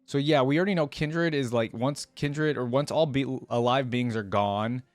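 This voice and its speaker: Male reaction creator, medium pitch, informal and inquisitive, reads aloud with off-the-cuff commentary, very dry recording. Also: moderate pace, dry recording. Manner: musing aloud, curious, informal asides. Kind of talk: casual reaction commentary, reading lore aloud.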